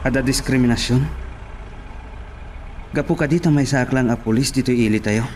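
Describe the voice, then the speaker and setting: A man's voice: mid-range voice, normal speed, darkish tone, slight background noise, lightly acted. A man playing a devoted, defiant young policeman son in an audio drama, earnest and pleading. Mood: hurt, questioning.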